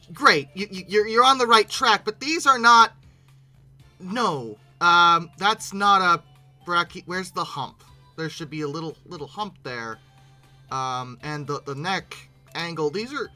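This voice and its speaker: Very bright voice, lively swooping pitch, casual reaction host, exasperated asides, music behind. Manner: animated, breaks into giggles, exasperated asides. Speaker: YouTube host.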